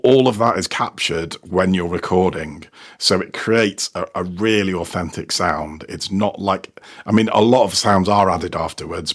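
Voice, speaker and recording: A man explaining his sound production work in a casual interview, hesitant and repeating words as he thinks. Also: sits low. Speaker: sound designer and technical producer, podcast guest.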